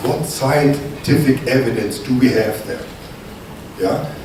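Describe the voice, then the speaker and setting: A man's voice: medium pitch, noisy background. A man from Germany with a strong German accent gives a conference lecture as a research scientist and keeps checking in with the audience with "okay?". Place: conference hall. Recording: distant mic in an echoey hall.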